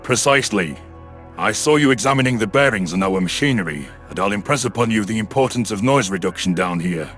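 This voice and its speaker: A man plays a stern naval commander in an audio story, speaking in a low, measured voice. He is calm and authoritative, with faint music underneath. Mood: instructive. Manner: measured, authoritative, proud explaining. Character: calm, stern male human submarine commander.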